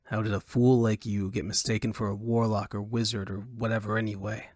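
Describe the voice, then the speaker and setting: A man's voice: on the low side, flat delivery, a little fast, a little mellow, no room sound, lightly acted. A man voicing a blunt, pragmatic hired adventurer in an audiobook, with a dry, terse, impatient manner. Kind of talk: audiobook character acting.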